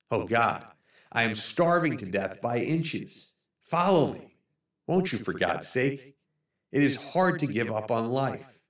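A man voicing a lost, starving man who pleads in a soft, hushed, higher voice. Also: roomy sound, far from the mic.

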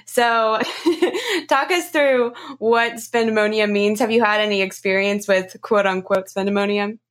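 A woman's voice: mid-range voice, unhurried, fairly bright tone. A woman hosting a podcast interview in a casual, playful and agreeable way. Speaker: podcast host.

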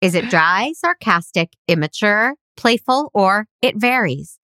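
This is a woman co-hosting a chat show, teasing and reading quiz questions aloud. Her voice is bright and pushes forward, and it moves up and down a lot. The recording is dry and close. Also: mid-range voice, expressive, unhurried, dry recording. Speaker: podcast co-host. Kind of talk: casual chat.

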